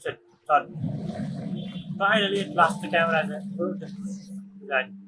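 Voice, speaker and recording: Casual male gamer over clear noise. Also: a little high, moderate pace. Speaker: gamer reacting during play. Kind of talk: casual gameplay commentary. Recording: noisy gameplay recording, game audio behind.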